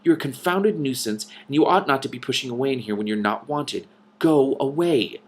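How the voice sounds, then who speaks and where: A man's voice: mid-range voice, expressive, a little fast, voice pushed up, lightly acted. A man voicing an officious, easily annoyed dragon with a blunt, dismissive manner in a storybook reading. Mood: cross. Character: gruff, officious olive-green male dragon, easily annoyed.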